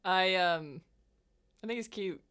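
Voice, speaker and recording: A young woman from the US West Coast with a Western American accent and a notably low voice. She chats loosely as a player on a tabletop podcast. She is quick to giggle and leans on fillers. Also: fairly bright tone, clear, resonant tone. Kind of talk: casual chat.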